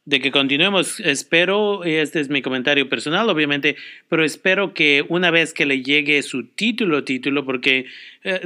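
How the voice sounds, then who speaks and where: Guy hosting a radio interview, bright smooth voice, talks fluently. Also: a little high. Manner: fluent, explanatory. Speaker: radio host.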